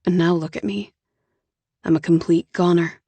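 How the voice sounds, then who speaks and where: A woman voicing a gentle young man in love. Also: steady tone, normal speed, no echo. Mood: tender. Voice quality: soft, low and hushed. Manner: gentle and coaxing.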